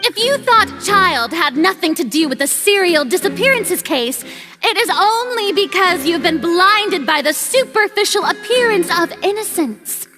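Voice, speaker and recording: A woman's voice: very high-pitched, lively intonation, normal speed, music in the background. A woman playing a haughty young female ruler in a video game, pompous and theatrical, with bluster over nerves. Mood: grand, boastful.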